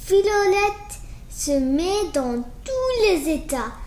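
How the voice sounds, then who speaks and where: A woman reading a children's story aloud in an animated way, slowly and with small stumbles. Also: very high-pitched. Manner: slow, halting reading with stumbles. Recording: roomy echo with faint background noise.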